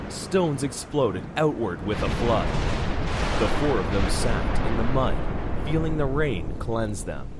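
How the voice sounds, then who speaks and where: A man's voice: lowish voice, background noise. A man reading dramatic scripted narration for a horror audio drama.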